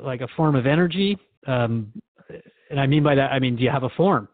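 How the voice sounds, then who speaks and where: A man's voice: mid-range voice, a little fast, no room sound, close to an everyday voice. A man from Canada playing a skeptical, slightly flustered radio host in a drama, hesitant and conversational as he questions his guest. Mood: curious, probing. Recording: thin phone-like band-limited audio.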